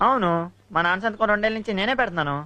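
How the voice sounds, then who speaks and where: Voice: mid-range voice, rapid-fire, bright, forceful tone, dry recording, close to an everyday voice. A performer playing a bold, cheeky and protective schoolboy in film dialogue, talking in a confident, casual way. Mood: breezy confidence.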